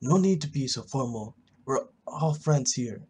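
A brash young builder from a game played by a gamer, friendly but pushy. The voice is husky, chummy and emphatic. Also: medium pitch, expressive, unhurried, darkish tone.

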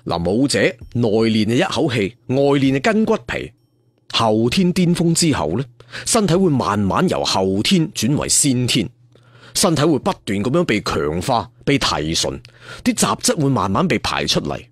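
A man telling a martial arts story in an animated, conversational, dramatic way. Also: medium pitch, normal speed, dry recording, clean studio sound. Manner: animated, conversational, with spoken sound effects.

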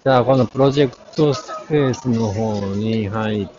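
A man murmuring casual, fragmentary walking commentary as a vlog guide, talking partly to himself. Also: mid-range voice. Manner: halting, fragmentary, muttering names to himself. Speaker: vlog host, art fair guide. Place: large busy indoor art fair hall. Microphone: handheld action camera at arm's length, masked. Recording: muffled, crowded exhibition hall noise. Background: crowd chatter.

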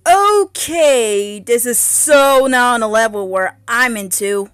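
Cartoon fairy warrior princess, played by a woman: high, swooping pitch, sassy, bold yet wary, dramatic delivery. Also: animated, slow pace, a bit bright, smooth, dry recording, studio quality. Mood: confused, uneasy. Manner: dramatic, sassy, hesitant stammers. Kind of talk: amateur cartoon character voice lines.

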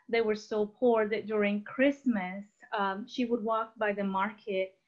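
A woman in her thirties from Costa Rica telling her life story as a personal testimony over a video call. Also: medium pitch. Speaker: guest sharing her testimony. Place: room at home, indoors. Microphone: computer mic on a video call. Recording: dull, lo-fi video call audio.

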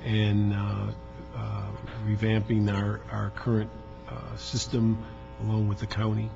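A man's voice: fairly low pitch, completely flat delivery, measured pace, gravelly, muffled recording, music in the background. A man asking questions as a council member at a public meeting, speaking off the cuff.

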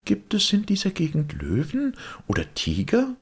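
A sensible, anxious little girl in a storybook, played by a man. The voice is pitched high and very husky, with lively swings, polite and earnest. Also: moderate pace, full character voice.

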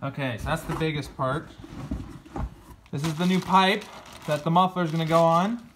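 A man's voice: fairly high pitch, normal speed, noisy background. A young man talking to viewers in an easygoing way as he opens a box of tractor parts in a farm workshop, filmed on a close phone camera. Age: young adult. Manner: easygoing, talks to viewers while handling parts. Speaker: farm vlogger. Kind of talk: casual unboxing and shop talk. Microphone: phone camera held close.

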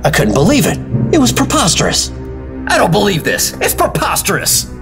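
An actor playing an earnest, excitable government agent in an old-time style radio drama. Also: male voice, high-pitched, normal speed, over music. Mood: incredulous. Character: earnest, excitable male government secret agent telling his story.

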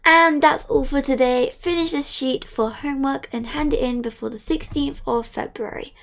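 A woman playing a strict schoolteacher in an amateur game movie, brisk and commanding. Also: high-pitched, steady tone, vocal fry.

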